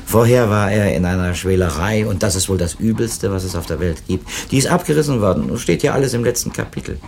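An actor playing an earnest, reflective literary editor in a naturalistic radio play, with hesitant, dryly ironic turns. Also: male voice, on the low side, expressive, a little fast, creaky, a little mellow, slight background noise. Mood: matter-of-fact. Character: earnest, musing male publishing editor visiting an author.

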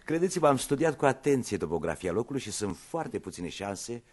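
A man's voice: medium pitch, very animated, darkish tone, husky. An actor playing a polite but insistent police inspector, slyly ironic as he questions suspects in a radio play. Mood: pointed, accusing.

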